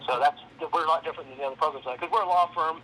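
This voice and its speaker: A man's voice: a little high, very fast, bright, forceful tone. A man on a phone call, explaining things conversationally as a program representative. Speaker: legal defense program representative. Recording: phone call with faint background noise.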